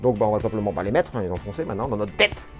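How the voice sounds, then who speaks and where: A man's voice: lowish voice, very animated, racing, fairly bright tone. Casual man over background music. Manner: hesitant, informal, repeats himself. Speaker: video host. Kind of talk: casual unscripted product demo chat.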